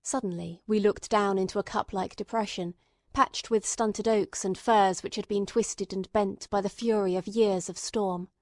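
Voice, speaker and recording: A woman's voice: mid-range voice, steady tone, normal speed, dry recording, studio quality. A woman reading scripted literary narration as an audiobook narrator.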